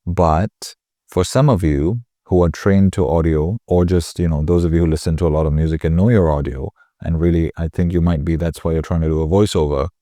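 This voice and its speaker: Man, low voice, dark tone, slightly nasal, chatty rambling tutorial host. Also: no room sound, clean studio sound. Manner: chatty, rambling, self-correcting. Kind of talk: casual screen-recording tutorial.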